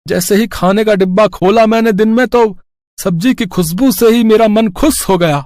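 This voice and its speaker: A man voicing a gentle, hopeful village husband and father in a cartoon moral story. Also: high-pitched, moderate pace, no room sound, studio quality, lightly acted. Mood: delighted.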